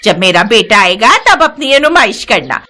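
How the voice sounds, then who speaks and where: A woman's voice: medium pitch, big pitch swings, moderate pace, pushed and bright. A woman voicing a bitter, taunting old mother-in-law in a dramatised moral story, nagging theatrically. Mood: harsh, bullying. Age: older. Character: bitter, scolding old mother-in-law berating her daughter-in-law.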